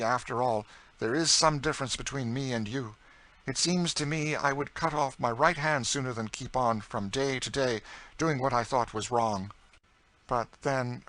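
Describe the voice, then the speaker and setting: A man's voice: mid-range voice, fairly bright tone, voice pushed up, lightly acted. A man voicing a prim, earnest middle-aged spinster who fretfully reproves her cousin in an audiobook reading. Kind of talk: audiobook character voice.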